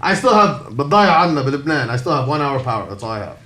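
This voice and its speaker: A man hosting a podcast in casual conversation, animated, dropping Arabic words into his talk. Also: medium pitch, fast. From Canada. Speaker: podcast host.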